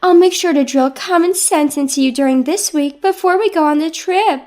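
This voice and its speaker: A woman's voice: high voice, normal speed, darkish tone, soft background music, voice pushed up, lightly acted. A woman voicing a pushy, smug older mother-in-law with a haughty, guilt-tripping manner in a dramatized story. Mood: scolding, condescending. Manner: haughty, artificially wounded, scolding.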